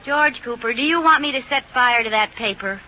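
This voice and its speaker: An actress playing a scatterbrained, demanding but timid American housewife in 1940s radio comedy, theatrical and exasperated, on an old recording. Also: female voice, on the high side, brisk, pushed and bright, highs cut off, slight background noise. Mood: annoyed.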